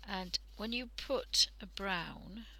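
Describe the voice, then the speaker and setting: A woman with a croaky voice from a cold, chatting casually through a drawing tutorial on a headset mic and often dropping into half-whispers. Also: mid-range voice, slow, bright, forceful tone, low-quality recording. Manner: chatty, thinking aloud, drifting into half-whispers. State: hoarse from a cold. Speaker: art livestream host.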